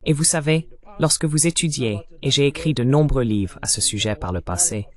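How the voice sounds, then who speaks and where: Guy doing voice-over for a military analyst interview, explains things steadily, medium pitch. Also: normal speed. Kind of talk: translated voice-over of a political interview.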